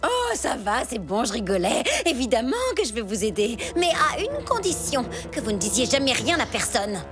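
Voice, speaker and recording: A woman's voice: a little high, big pitch swings, moderate pace, music in the background. A woman playing a proud, haughty female cartoon house cat with a huffy delivery. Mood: backing down. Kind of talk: animated cartoon acting.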